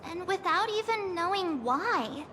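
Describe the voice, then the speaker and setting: An actress voicing an expressive, perky teenage adventurer girl in an anime-style video game, talking informally with hesitant pauses. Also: very high voice, noisy background. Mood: troubled, pensive.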